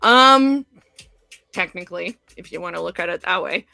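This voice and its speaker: A woman reads through an episode recap and keeps breaking off to comment. Her voice is bright and forceful, with a gravelly fry, recorded dry and close. Faint music plays underneath. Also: on the low side, animated, moderate pace, no echo. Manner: reads summary aloud with chatty asides and laughs. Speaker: podcast co-host. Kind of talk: casual chat recapping episodes.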